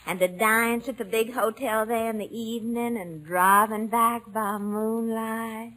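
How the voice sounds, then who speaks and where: High, bright Southern belle. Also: female voice, steady tone, slight background noise, poor audio. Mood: wistful, dreamy. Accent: American Southern. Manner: coy, sugary, drawn-out delivery. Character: flirtatious, gushing Southern belle pushing thirty. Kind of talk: old-time radio comedy acting. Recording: vintage radio show recording.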